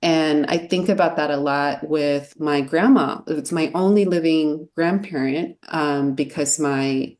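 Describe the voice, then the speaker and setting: A woman's voice: low voice, a little slow, hardly any pauses, a little mellow. A woman in her mid-thirties, an educator and podcast guest, speaking in an earnest, reflective way. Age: 30s. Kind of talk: casual interview chat.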